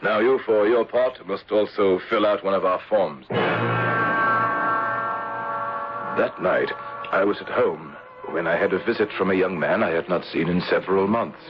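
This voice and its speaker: An actor playing a courtly older insurance manager in a radio drama, speaking in a measured, formal, paternal way with a husky voice. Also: male voice, low voice, over loud music, natural voice. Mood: matter-of-fact. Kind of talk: 1970s radio drama acting. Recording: dull lo-fi old radio broadcast.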